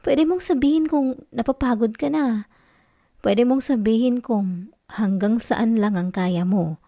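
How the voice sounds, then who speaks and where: A woman playing a needy, affectionate wife in an intimate ASMR roleplay, with a Filipino accent and a soft, coaxing, pouty delivery. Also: fairly high pitch, a little slow. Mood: scolding, concerned. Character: needy, affectionate wife, sulky yet tender and teasing.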